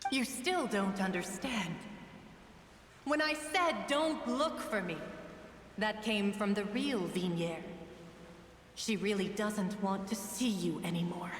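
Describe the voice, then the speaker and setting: An actress playing the vengeful spirit of murdered young women in a game, solemn and accusing. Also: female voice, mid-range voice, animated, takes it slowly. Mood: merciless. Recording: echoey hall, distant, noisy.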